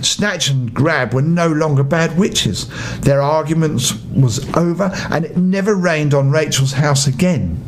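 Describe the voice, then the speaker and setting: An older man narrating a children's bedtime story as an expressive storybook reader. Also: a little high, measured pace, a little hiss or hum.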